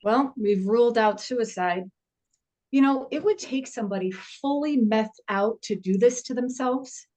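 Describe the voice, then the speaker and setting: A woman's voice: fairly high pitch, measured pace, darkish tone, close to an everyday voice. A woman playing a middle-aged forensic investigator at a film table read, matter-of-fact and wry, with a hesitant conversational delivery. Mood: wry, amazed. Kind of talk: actor's table read of an indie horror film.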